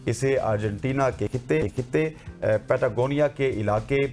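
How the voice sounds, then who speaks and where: Hoarse male morning show host. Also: mid-range voice, normal speed, a little mellow, faint music behind. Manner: formal announcer delivery with fillers. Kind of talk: morning TV presenting.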